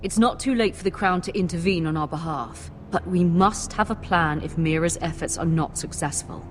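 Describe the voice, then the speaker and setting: A woman playing a composed, low-voiced noble mother. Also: normal speed, faint music behind, lightly acted. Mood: authoritative. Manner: composed, authoritative, calm. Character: dignified noble mother, lady of the house.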